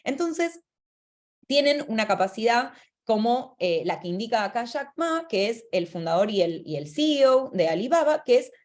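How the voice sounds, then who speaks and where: A woman's voice: a little high, big pitch swings, normal speed, a little mellow. An Argentine woman presenting a webinar talk over a video call. She explains ideas at length with fillers and self-corrections. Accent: Argentine Spanish. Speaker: webinar speaker.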